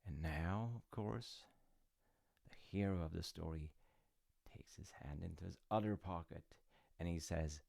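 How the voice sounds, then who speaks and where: A man playing an easygoing older dad who tells his child a folktale in an intimate, musing way, with gently wry, rambling asides. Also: measured pace, no room sound, clean studio sound, full character voice. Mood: wry storytelling. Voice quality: low, gravelly. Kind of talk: intimate roleplay storytelling with casual rambling asides.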